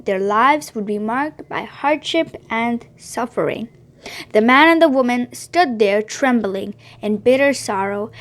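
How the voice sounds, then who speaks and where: A woman reading a Bible story aloud for children on her channel, gently, with small slips and restarts. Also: fairly high pitch.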